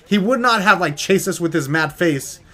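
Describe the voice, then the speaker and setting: A man's voice: high voice, animated, moderate pace, a bit bright. A young American man telling a story in a casual, rambling, jokey vlog style. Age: young adult.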